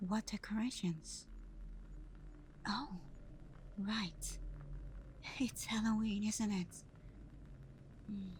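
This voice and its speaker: A woman acts out a tender vampire girlfriend in an intimate ASMR roleplay. Her voice is a soft, breathy whisper that moves slowly and stays on a very even pitch. There is some background noise around her gentle, devoted words. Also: rough recording. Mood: shy, cosy.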